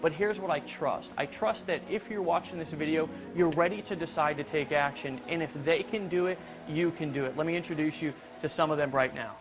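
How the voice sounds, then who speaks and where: A man gives a pushy sales pitch, his voice sitting fairly high as he talks straight at the viewer. Music plays clearly under him the whole way. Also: brisk. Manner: blunt, hard-selling, addresses viewers as "guys". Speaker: company founder presenting a business opportunity. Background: background music.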